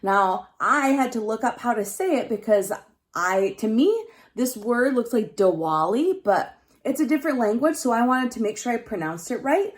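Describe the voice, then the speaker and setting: Animated female teacher reading aloud to children. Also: a little high, moderate pace. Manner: simple explanatory phrasing, addresses children directly, emphasizes key words. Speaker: elementary school teacher reading aloud to pupils. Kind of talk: read-aloud lesson with explanations and questions to children.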